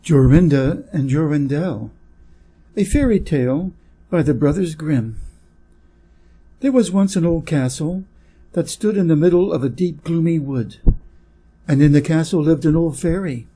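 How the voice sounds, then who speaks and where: A man with an American accent reads a fairy tale in a measured, clear way for English learners. His voice sits a little high for a man but sounds very mellow and dark in tone. There is a soft huskiness to it. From Wisconsin, USA. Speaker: reader. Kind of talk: storybook reading.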